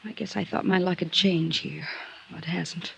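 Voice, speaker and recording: A woman's voice: fairly low pitch, fairly even intonation, moderate pace, gravelly, fairly bright tone, breathy edge, full character voice. An actress playing a stranded, pleading, world-weary American woman in a 1950s radio noir drama, earnest and guarded. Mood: weary, wistful.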